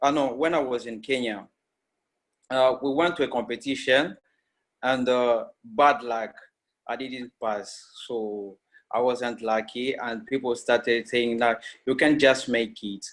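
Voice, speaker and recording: A man from Congo whose first language is Swahili introduces his own songs. His voice sits at a medium pitch for a man. He speaks plainly and steadily, pausing a little now and then. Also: fairly even intonation. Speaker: musician. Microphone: video call.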